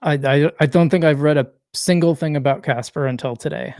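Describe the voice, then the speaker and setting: A man hosting a casual solo livestream, rambling and correcting himself as he reads chat comments, his voice worn and going hoarse. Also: fairly high pitch, brisk, a little mellow, no room sound, clean studio sound. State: losing his voice. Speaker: livestream host.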